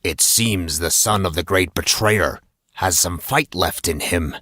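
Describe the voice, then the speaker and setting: A man's voice: low voice, a little slow, bright, forceful tone, no room sound, studio quality, pitched below the performer's own voice, exaggerated voice. A man voicing a cold, taunting villain hidden in shadow in a fantasy audiobook.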